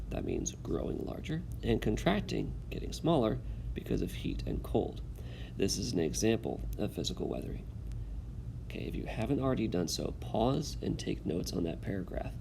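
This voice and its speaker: Male teacher, low and gravelly, guiding students through a read-aloud over faint background noise. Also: sing-song. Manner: instructive, pausing to check understanding. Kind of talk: instructional video with read-aloud.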